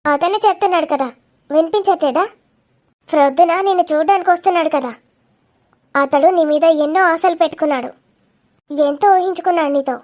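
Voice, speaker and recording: A woman's voice: very high-pitched, brisk, long pauses, darkish tone. A woman voicing a feisty, sarcastic young woman in a confrontational cartoon comedy. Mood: mocking, scolding. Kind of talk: dramatic cartoon comedy dialogue.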